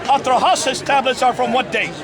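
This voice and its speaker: Man, street preacher, very high voice, loud, preachy, crowd chatter around. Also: fairly even intonation, a little fast. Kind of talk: heated outdoor debate. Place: outdoors in a busy city street. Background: crowd noise.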